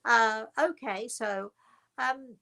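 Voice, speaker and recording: A woman's voice: a little high, extremely slow, pushed and bright. A woman telling a personal story informally to an online audience, conversational and emphatic, stretching and repeating words for emphasis. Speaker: spiritual medium telling her story.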